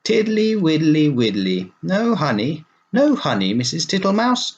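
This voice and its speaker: A man voicing a fat, smiling, toothless gentleman toad in a storybook, polite, silly and repeating himself. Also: a little high, sing-song, a little slow, lo-fi sound, voice pushed up. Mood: playful. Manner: repetitive, polite, leisurely, silly.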